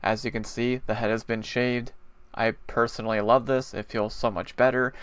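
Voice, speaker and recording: A man giving a casual, rambling spoken review of a TV episode, chatty with frequent pauses and fillers, his nose a little stuffy. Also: medium pitch, flat delivery, smooth, no echo. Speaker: TV show reviewer.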